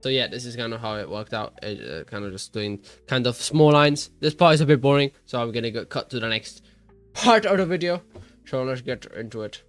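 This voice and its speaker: A man's voice: medium pitch, animated, brisk, soft background music. A young man hosting his own art channel, chatting in a rambling, self-deprecating way over his drawing, his voice worn and congested from a cold. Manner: rambling, self-deprecating, many restarts and fillers. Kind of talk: casual voice-over while drawing.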